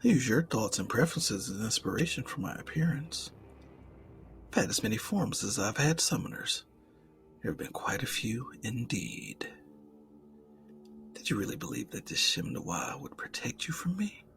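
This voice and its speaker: A man voicing a suave, mocking, predatory demon in an ASMR roleplay, speaking formally and eloquently and often dropping into a breathy whisper. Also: medium pitch, sing-song, normal speed, a bit bright, faint music behind. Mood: smooth, superior.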